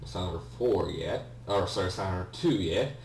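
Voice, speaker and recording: A man with a low voice talks slowly through a video game as its player and host. He is hesitant and rambling, often correcting himself mid-thought. The recording is echoey, as if the mic sits at a distance in a room. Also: creaky, darkish tone. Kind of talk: casual gameplay commentary. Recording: roomy, distant mic with faint hum.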